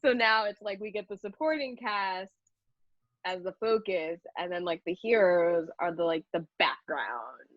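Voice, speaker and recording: A dull, dry lo-fi recording carries a woman with a fairly low voice. She is interviewing casually, phrasing her questions and remarks in a loose conversational way. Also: measured pace, no echo. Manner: informal, conversational.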